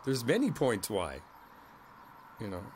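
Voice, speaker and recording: A man playing a kindly older neighbor in an ASMR roleplay, reflective and candid, talking in a halting, conversational way and repeating his phrases. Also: medium pitch, wildly swooping pitch, a little mellow, slight background noise. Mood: somber, candid.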